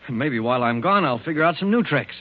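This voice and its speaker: A man's voice: a little high, expressive, quick pace, phone-quality sound, lightly acted. Man playing eager schemer on thin old radio. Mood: wheedling, wary. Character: eager, crafty male schemer angling for time off. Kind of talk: 1940s radio acting. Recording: thin 1940s radio recording.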